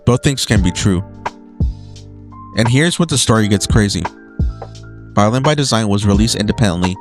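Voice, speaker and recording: A man's voice: on the low side, animated, normal speed, soft background music. A man delivering scripted video essay narration as a documentary narrator, with emphatic lists and dramatic short phrases.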